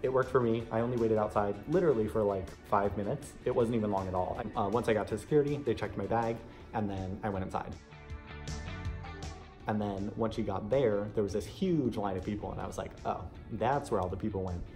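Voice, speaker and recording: A man's voice: fairly low pitch, a little fast, music in the background. A young American man telling a story casually to camera in a chatty, conversational way. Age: young adult. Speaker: vlogger sharing an audition experience.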